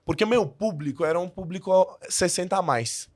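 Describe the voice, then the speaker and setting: A man's voice: high voice, moderate pace, no echo, clean studio sound. A man who learned the language as an adult, a podcast guest telling his story in a casual way with many restarts and fillers.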